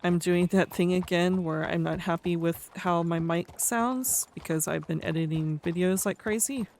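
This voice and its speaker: Tongue-tied streamer with a speech impediment, mid-pitched. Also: normal speed, darkish tone, a little hiss or hum. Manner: tongue-tied, mumbly, stumbles over words. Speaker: streamer playing a roleplay character. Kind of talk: casual game livestream commentary, reading aloud.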